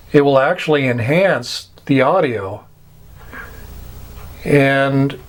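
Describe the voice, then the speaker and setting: A man giving an informal explanatory lecture as a radio instructor, speaking deliberately in short, halting phrases and repeating words for emphasis. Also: mid-range voice. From United States.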